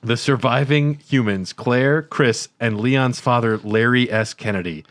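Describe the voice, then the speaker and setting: A man's voice: mid-range voice, a little slow, fairly bright tone, no room sound. Wry male podcaster, close dry recording. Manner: wry, joking. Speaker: podcast co-host. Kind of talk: casual banter and reading out a plot summary.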